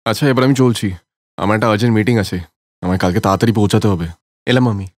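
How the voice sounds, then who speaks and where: A man voicing a busy, money-minded young husband in a cartoon story, brisk, dismissive and self-justifying. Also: lowish voice, a little mellow, no echo, lightly acted. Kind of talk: cartoon story character acting.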